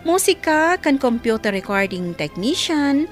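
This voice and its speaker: A woman's voice: high-pitched, very animated, unhurried, faint music behind. A woman with an Ilocano accent reading scripted narration as a radio programme announcer.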